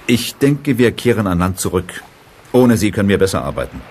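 A man playing a brisk, authoritative scientist leading a monster hunt in a German radio play. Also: on the low side, big pitch swings. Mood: offended. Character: brisk, commanding male monster-hunting scientist. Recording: engine noise behind the voices.

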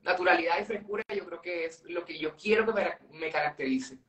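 A man's voice: on the high side, brisk, a bit bright, far from the mic. Chatty Colombian man on a video call. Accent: Colombian Spanish. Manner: chatty, laughs easily, frequent restarts. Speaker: guest presenter. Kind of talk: casual live interview. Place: home office with cabinets. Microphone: webcam on video call. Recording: roomy sound from the mic.

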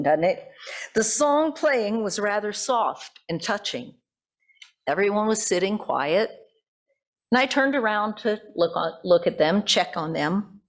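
An older woman preaching as a pastor, reading from notes in deliberate phrases and breaking off for informal, self-correcting asides. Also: fairly low pitch. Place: church sanctuary at a pulpit. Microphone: gooseneck pulpit microphone.

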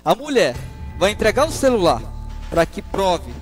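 A man's voice: high voice, big pitch swings, moderate pace, faint music behind. A man in his thirties with a northern Brazilian accent, anchoring a local news show from a studio and commenting with rhetorical questions to viewers. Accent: Brazilian Portuguese, northern Pará. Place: studio with virtual set.

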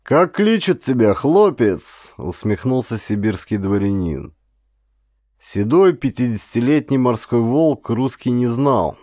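A man narrating an adventure audiobook as a dramatic, expressive, theatrical storyteller. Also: fairly low pitch, dry recording, clean studio sound.

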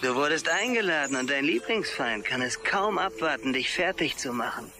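An actor playing a smug, malicious advertising program that congratulates its victims with mocking glee in an audio drama. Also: male voice, on the high side, animated, normal speed. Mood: gleeful mockery. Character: smugly cheerful malicious advertising plug-in voice.

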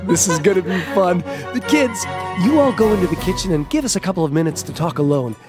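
A man's voice: sits high, fast talker, music in the background, close to an everyday voice. An American actor playing a cheerful, devout middle-aged father in a family radio drama. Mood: excited.

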